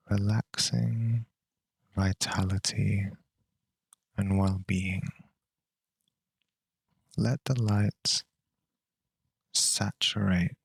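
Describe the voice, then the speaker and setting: A man leading a guided meditation in a calm, measured, unhurried way with long pauses between phrases. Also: fairly low pitch, gravelly, dry recording.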